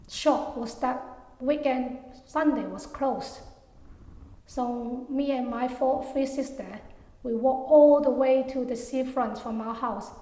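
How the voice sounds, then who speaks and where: A middle-aged woman from Hong Kong talks through her memories in a fairly high voice, pausing often on fillers and letting her sentences fade out. The room is echoey, with a long reverb tail behind her. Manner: hesitant, many fillers, soft trailing endings. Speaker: interviewee. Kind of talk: oral history interview.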